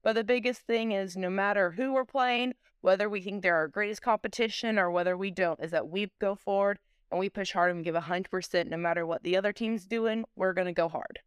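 A woman's voice: medium pitch, a little fast, few pauses, clear, resonant tone, no echo. A woman speaking as a sports coach in an interview, with emphatic, repetitive, driven motivational phrasing.

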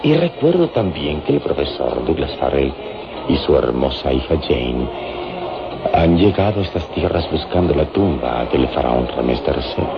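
An actor playing a wise, heroic adventurer who thinks aloud gravely and with measure in a radio drama. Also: male voice, very deep voice, very animated, moderate pace, exaggerated voice. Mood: pondering. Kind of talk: Mexican radio drama monologue. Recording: dull radio recording under loud music.

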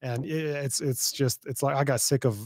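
A young man hosting a podcast, talking in a blunt, sardonic way and laughing often. His first language is Arabic. The voice sits at an ordinary pitch for a man and sounds close and dry with no room echo. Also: fairly even intonation, normal speed. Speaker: podcast host. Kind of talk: casual interview chat.

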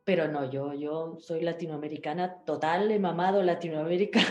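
A woman's voice: low voice, fairly even intonation, normal speed, echoey room, muffled recording, soft background music. A woman talks casually as an interview guest, in a chatty, rambling way, often checking back with the listener. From Ecuador.

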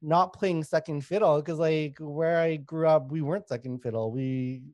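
A fairly high-voiced man in his thirties from Canada, telling stories openly with easy laughs and plenty of swearing. Very dry, close sound with no room around him. He talks loosely, packing his lines with fillers like a guest in a relaxed podcast chat. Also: moderate pace, no echo. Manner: candid, laughing, swears freely, many fillers. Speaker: guest comedian.

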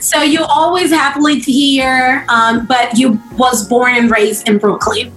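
A woman hosting an interview, asking questions in a slow, halting way with non-native grammar. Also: high voice, steady tone, a bit bright. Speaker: interviewer, host. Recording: roomy echo, faint music behind.

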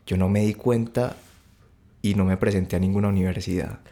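A young man with a Bogotá Colombian accent, telling his story as a podcast guest in a halting, relaxed way with many restarts. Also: deep voice.

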